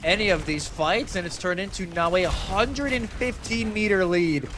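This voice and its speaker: A man's voice: sits high, moderate pace, music in the background. A man giving live commentary on a video game match as an esports caster, speaking colloquially and emphatically.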